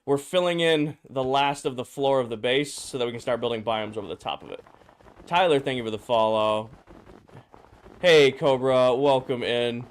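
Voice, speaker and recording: A man streaming a game, his voice slightly hoarse and drowsy, casually greeting viewers and answering chat questions. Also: mid-range voice, normal speed. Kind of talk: casual live-stream chat while playing.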